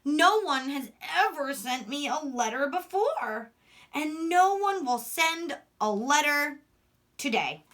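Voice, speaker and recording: Woman reading as a gloomy storybook toad, swooping pitch. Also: heavily acted. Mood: dismissive, gloomy. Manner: expressive, deliberate read-aloud. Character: gloomy, doubtful male toad from a children's storybook.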